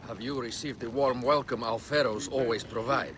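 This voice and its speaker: An actor playing a steady, guarded and discreet Egyptian envoy in a video game drama. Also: male voice, mid-range voice, normal speed, crowd noise, natural voice. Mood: formal.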